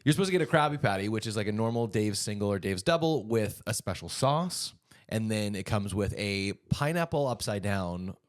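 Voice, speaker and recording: A man's voice: lowish voice, animated, brisk. A man co-hosting a podcast, chatting casually and telling playful, jokey stories. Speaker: podcast co-host. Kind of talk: casual chat.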